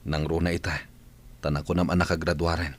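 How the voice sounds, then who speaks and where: A man's voice: low voice, level tone, fast, a little hiss or hum, lightly acted. A man with an Ilocano accent playing a village man talking frankly with his friends in a radio drama. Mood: regretful.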